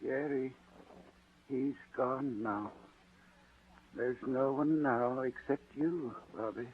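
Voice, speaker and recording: An actor playing a frail, dying old rich uncle who speaks slowly, faintly and wearily in a 1940s radio drama. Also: male voice, medium pitch, a little hiss or hum, lightly acted. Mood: weary. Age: older. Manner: slow, faint and weary. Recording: tinny old radio broadcast.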